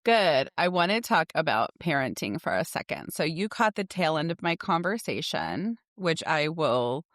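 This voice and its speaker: A woman's voice: low-pitched, very animated, normal speed, fairly bright tone, dry recording, studio quality. A woman in her forties co-hosting a podcast in a casual, candid and emphatic chat. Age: 40s. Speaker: podcast co-host.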